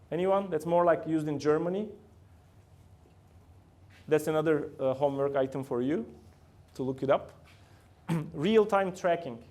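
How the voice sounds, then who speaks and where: Man, Turkish accent, middle-aged, slightly high voice, university lecture, questions to the audience. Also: darkish tone. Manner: asks the room frequent questions, loose grammar. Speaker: guest lecturer.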